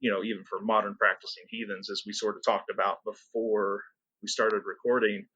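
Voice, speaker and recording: An upbeat, chatty American man hosting a podcast, quick to laugh. His voice sits a little low. It's a dry, close recording with no room sound. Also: flat delivery, bright, forceful tone, slightly rough, dry recording, lo-fi sound. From United States. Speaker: podcast host. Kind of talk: casual podcast interview.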